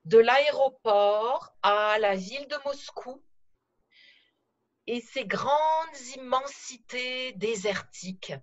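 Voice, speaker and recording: A middle-aged woman recalling her travels in a slow, careful storytelling manner over a video call from her living room. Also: fairly high pitch, dull audio. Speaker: interview guest. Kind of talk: conversational podcast interview.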